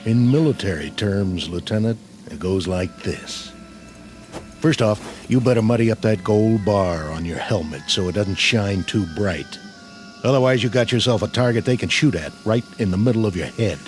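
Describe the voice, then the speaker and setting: Man acting a weary low-voiced army sergeant. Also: expressive, moderate pace, faint music behind, lightly acted. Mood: blunt, dry. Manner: plain-spoken, folksy, wry. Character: weary, battle-hardened army sergeant, blunt and skeptical. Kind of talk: radio drama acting.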